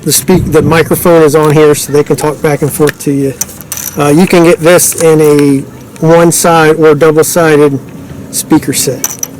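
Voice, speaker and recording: Higher-voiced man demonstrating gear, noisy background. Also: level tone, normal speed, darkish tone. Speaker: product demonstrator. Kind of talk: casual unscripted product demonstration.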